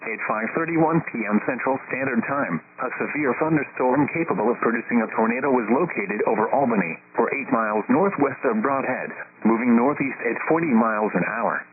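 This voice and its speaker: A synthetic male announcer reading scripted weather warnings in a formal, measured way over the radio. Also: mid-range voice. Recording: tinny, noisy weather radio broadcast with hum.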